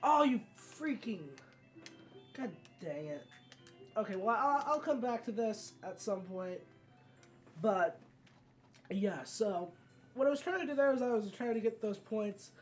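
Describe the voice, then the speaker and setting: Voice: medium pitch, expressive, a little slow, pauses often. A gamer hosting a casual let's play, rambling along and often correcting themselves mid-sentence. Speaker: gaming video host. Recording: game music behind the voice. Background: video game music.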